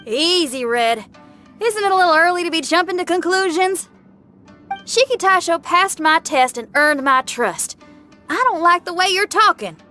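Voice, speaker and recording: A spirited young rocker girl from a video game, high and bright. Loyal and plain-spoken, the character talks in a folksy way over faint music. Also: expressive, moderate pace, full character voice. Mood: firm, protective.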